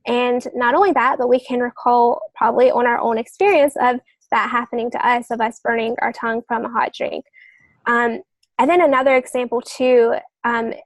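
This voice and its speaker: A woman explaining things as a podcast guest, working through points with examples and pausing to rephrase as she goes. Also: fairly high pitch, no echo. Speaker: podcast guest novelist giving writing advice.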